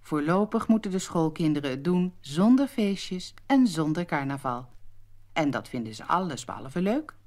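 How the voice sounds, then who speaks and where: A woman narrating a scripted children's story as an expressive storyteller. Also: low-pitched, normal speed.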